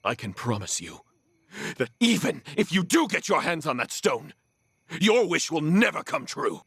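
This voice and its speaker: Husky, righteous man, reproachful. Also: on the high side, very animated, moderate pace, a bit bright, no room sound, clean studio sound, full character voice. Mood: stern reproach. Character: righteous man confronting a villain, stern and reproachful. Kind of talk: dramatic video game voice acting.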